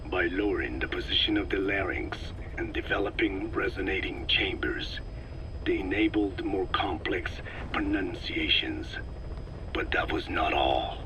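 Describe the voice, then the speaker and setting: An actor playing a very old, frail and solemn scientist in a video game, lecturing slowly in a weak, breathy whisper. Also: male voice, low voice, noisy background. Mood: grave, measured. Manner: slow, measured, solemn lecturing. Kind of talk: scripted video game monologue, scientific exposition.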